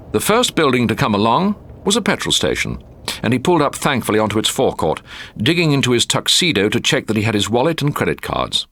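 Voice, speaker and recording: A British man reading a short story as an audiobook narrator, in a measured, expressive storytelling manner. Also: fairly low pitch, a little hiss or hum.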